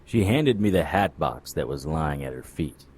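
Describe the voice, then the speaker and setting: A man's voice: extremely low pitch, lively intonation, normal speed, darkish tone. A man playing a jaded occult investigator in a noir audio drama, with a dry, wry, world-weary delivery. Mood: wry narrating. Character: jaded, sardonic male hard-boiled occult investigator. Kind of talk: noir audio drama acting with first-person narration.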